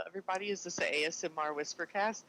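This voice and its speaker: A woman cohosting a podcast in playful, casual banter, with a naturally loud voice, talking from home into a desk microphone. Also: on the low side, racing, slight background noise, poor audio.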